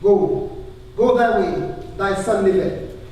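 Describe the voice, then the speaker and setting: A man acts out a solemn, authoritative holy teacher in a live church play, his voice fairly high. He declares archaic scripture from far off in a very echoey hall. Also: expressive, takes it slowly. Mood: firm command. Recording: distant echoey hall, faint noise.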